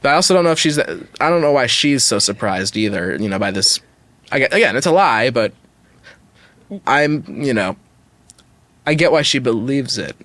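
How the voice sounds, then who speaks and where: A man's voice: mid-range voice, expressive, normal speed, pauses often, a bit bright, no echo. A man co-hosting a podcast, talking casually and emphatically and giving his opinions as he goes. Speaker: podcast co-host.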